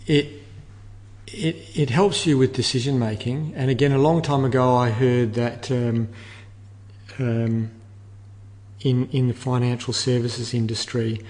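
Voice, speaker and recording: A man's voice: mid-range voice, fairly even intonation, measured pace, darkish tone. Older man in a very echoey room. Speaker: interview guest, air traffic control manager. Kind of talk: conversational interview answers. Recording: long reverberant room echo.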